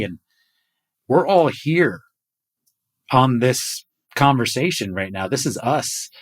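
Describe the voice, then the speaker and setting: A middle-aged man hosting a podcast conversation over a video call, enthusiastic as he shares numbers with his team. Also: mid-range voice. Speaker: podcast host. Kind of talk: casual chat.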